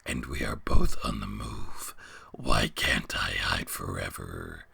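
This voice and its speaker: Very deep, gravelly menacing beast. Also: male voice, bright, forceful tone, no echo, full character voice. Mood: taunting, ominous. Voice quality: gravelly, rasping half-whisper. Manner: slow, cryptic, drawn-out delivery. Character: huge menacing taunting male beast.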